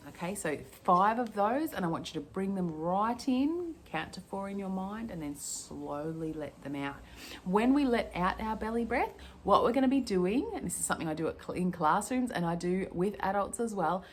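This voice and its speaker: Woman, croaky from a cold, low-ish voice, gentle, unhurried, chatty, faint ambient noise. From Australia. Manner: gentle, chatty, slows down to calm. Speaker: mindfulness and stress coach. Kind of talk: guided breathing lesson to camera.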